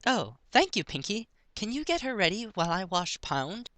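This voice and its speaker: A man's voice: high-pitched, sing-song, moderate pace, bright, forceful tone, dry recording, pitched above the performer's own voice, full character voice. A man voicing a sweet, doting mother pony who coos to her baby in a story reading. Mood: cheerful. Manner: cooing, sing-song motherly talk.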